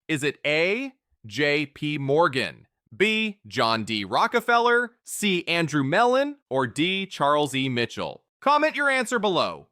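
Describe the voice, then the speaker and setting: Lively, bright-voiced male quiz narrator. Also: on the high side, dry recording, clean studio sound.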